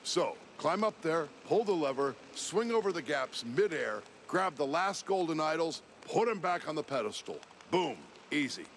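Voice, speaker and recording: An actor playing a dazed, cocky cartoon mouse movie action hero who rambles in laid-back bro talk. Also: male voice, fairly high pitch, sing-song, moderate pace, vocal fry, few pauses, fairly bright tone, a little hiss or hum, heavily acted. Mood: breezy. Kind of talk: cartoon game acting.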